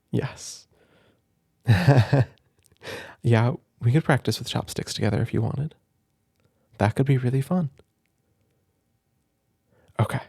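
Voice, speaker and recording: A man speaking in a gentle, doting, playful way, as in a soft ASMR roleplay where a caring big brother reads a children's book aloud. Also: mid-range voice, slow, creaky, long pauses, breathy edge, dry recording, studio quality. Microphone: close microphone, dry room.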